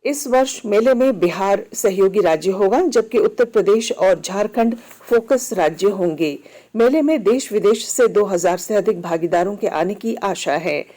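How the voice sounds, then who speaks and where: Female news reader, soft-edged warm tone, medium pitch, formal and measured bulletin delivery.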